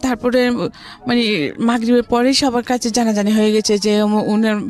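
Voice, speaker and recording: A woman's voice: a little high, level tone, normal speed, a little mellow. A woman with a Bangladeshi accent telling her personal story as an interview guest, often relaying what others said.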